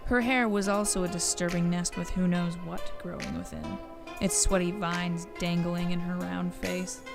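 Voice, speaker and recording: A woman's voice: on the low side, animated, normal speed, vocal fry. A woman narrator reading a scripted audio story. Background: music.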